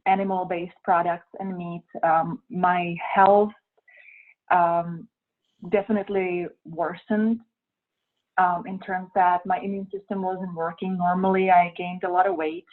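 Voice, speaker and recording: A woman's voice: fairly low pitch, unhurried. A young woman with a Czech accent answering interview questions, hesitant and thoughtful, with many ums and uhs. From Czech Republic. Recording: tinny phone-like sound.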